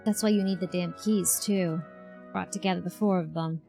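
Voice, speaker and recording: A woman's voice: on the low side, steady tone, moderate pace, creaky, dark tone. A woman streamer giving casual, informal live reaction commentary in a chatty way. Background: game music.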